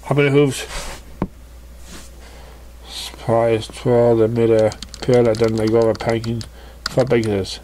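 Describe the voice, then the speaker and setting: Mellow-voiced man reading a story haltingly. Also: mid-range voice, level tone, a little hiss or hum. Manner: halting, stumbling delivery. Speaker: story reader. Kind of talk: amateur narration of a fan fiction story.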